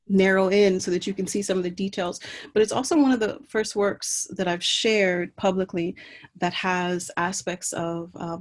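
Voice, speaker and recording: Low, flat-voiced woman presenting her art. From Puerto Rico. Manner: hesitant, many ums and restarts, reflective. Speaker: artist presenting her work. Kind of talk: walking through slides in an online artist talk. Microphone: computer mic on a video call.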